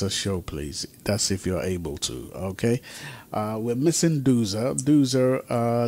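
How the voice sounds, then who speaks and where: A man hosting an informal radio talk show in a hesitant, repetitive way over an online call. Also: darkish tone. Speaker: talk show host and music producer. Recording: rumbly, noisy online conference call.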